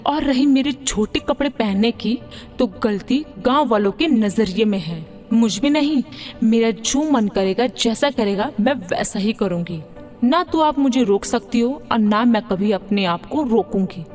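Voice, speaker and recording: Woman acting a haughty, high-voiced young city bride. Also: lively intonation, a little slow, darkish tone, soft background music. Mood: haughty, irritated. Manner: haughty, peppers Hindi with English phrases. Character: arrogant, spoiled young city-bred daughter-in-law. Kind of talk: Hindi moral-story cartoon dubbing.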